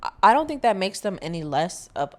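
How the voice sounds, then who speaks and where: A woman's voice: medium pitch, very animated, brisk, darkish tone. A woman speaking casually as part of a music discussion.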